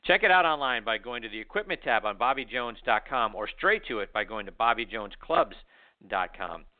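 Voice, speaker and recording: A man's voice: medium pitch, expressive, fairly quick. Upbeat male host on lo-fi audio. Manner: upbeat, enthusiastic. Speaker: podcast host. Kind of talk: interview and ad reads. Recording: tinny phone-like audio.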